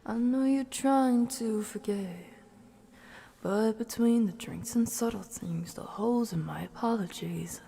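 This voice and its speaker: Female ASMR singer for a request stream: high-pitched, gentle, relaxed tone, reverberant sound. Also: unhurried, gravelly, a little hiss or hum. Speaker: streamer singing song requests. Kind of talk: soft ASMR singing stream with casual chat.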